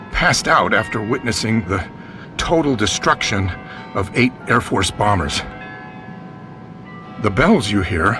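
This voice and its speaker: An actor playing a male news reporter giving a dramatic eyewitness account in short phrases for a radio drama. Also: lowish voice. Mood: grave, shaken.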